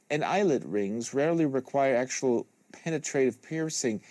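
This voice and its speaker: A man's voice: mid-range voice, dark tone, no room sound. Man reading literary prose, very dry sound. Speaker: host reading a novel aloud. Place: small studio with acoustic foam panels. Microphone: close studio microphone.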